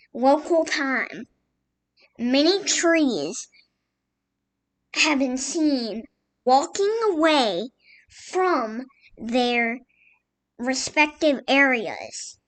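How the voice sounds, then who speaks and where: A woman with a high voice delivers a mock emergency alert. She reads slowly and formally, halting between phrases like a scripted civil announcement. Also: fairly bright tone, poor audio.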